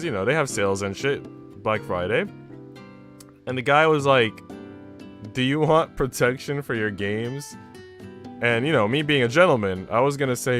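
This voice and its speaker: A man's voice: medium pitch, a bit bright. A man live streaming, switching between reading a visual novel aloud and slangy, joking chat with casual swearing. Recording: music playing behind the voice. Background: plucked guitar music.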